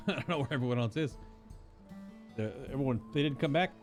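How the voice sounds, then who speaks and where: A man streaming a story game, giving casual, offhand reactions to the plot. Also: mid-range voice. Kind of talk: casual reactions and voiced reading of game dialogue.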